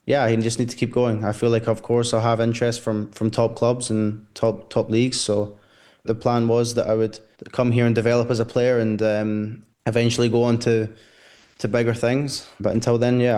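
A man's voice: mid-range voice, dark tone. A young Scottish man with a Glasgow accent, a footballer answering interview questions hesitantly, with many fillers and repeated words. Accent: Glaswegian. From Scotland. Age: 20s.

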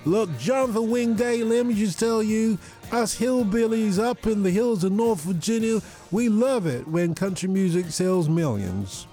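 A man's voice: high voice, animated, measured pace, hardly any pauses, mellow, soft background music, pitched above the performer's own voice. A man voicing a folksy, enthusiastic American country hillbilly on a radio show, with an American accent. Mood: folksy, emphatic.

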